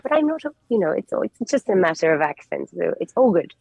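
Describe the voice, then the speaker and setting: A woman's voice: fairly low pitch, big pitch swings, brisk, creaky, a little mellow, husky, dry recording. A woman in her forties with a mixed French and English accent, chatting as a guest and searching for words. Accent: French with English mix. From France. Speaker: actress guest. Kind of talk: radio interview chat.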